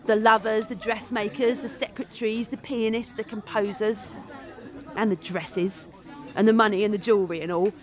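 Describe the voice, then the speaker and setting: A woman's voice: mid-range voice, steady tone, normal speed, a faint crowd, close to an everyday voice. A woman playing a brash, tough young woman who narrates, blunt and coarse-tongued. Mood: wry. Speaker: narrator and character. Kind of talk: radio drama acting and narration.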